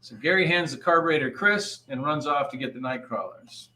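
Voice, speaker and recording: A man's voice: on the high side, a little fast, sharp tone, poor audio. A man reading stage directions aloud informally at a live table read. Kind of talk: informal live script narration.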